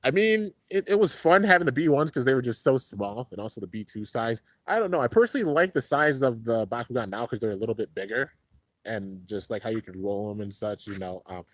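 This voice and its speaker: A man's voice: lively intonation, fairly quick, no room sound. A young man hosting a casual livestream and reading viewer comments, laid-back and rambling, a little congested. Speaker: YouTube host reading live chat. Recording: low-end home stream setup.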